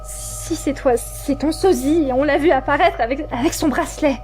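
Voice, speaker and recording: A woman's voice: high-pitched, normal speed, darkish tone, faint music behind, lightly acted. A woman playing a distressed wife in an audio drama, anxious and pleading. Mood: shaken, anxious. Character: distressed wife torn between two versions of husband. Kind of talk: amateur audio drama acting.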